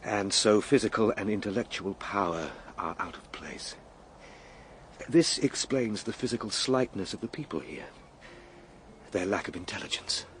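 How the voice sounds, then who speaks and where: An actor playing an earnest, excitable Victorian time traveller in a radio play, speaking in a formal, declamatory way. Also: male voice, lowish voice, normal speed, slight background noise. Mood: grave musing. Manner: declamatory, formal, spoken as a live log. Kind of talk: dramatised radio play monologue.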